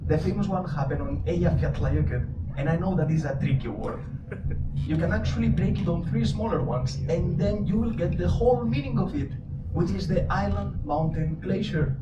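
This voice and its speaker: A man's voice: high-pitched, lively intonation, moderate pace. A man with a foreign accent guiding a live show, teasing and joking with his audience. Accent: non-native English accent. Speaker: lava show guide. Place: indoor presentation hall. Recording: distant, roomy, dull, noisy.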